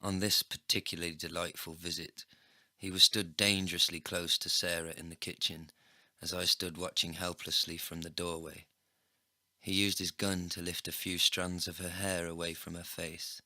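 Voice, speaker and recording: A man's voice: deep voice, pushed and bright, dry recording. A man delivering scripted first-person narration for a comedy story, speaking in character as the narrator.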